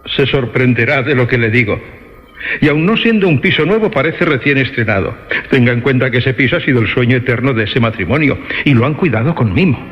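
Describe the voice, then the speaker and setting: Male agency clerk for radio suspense, acted by a man: courteous, measured, insistent, dull band-limited sound with long reverb. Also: medium pitch, lively intonation, lightly acted. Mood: courteous persuasion. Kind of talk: Spanish-language suspense radio drama acting.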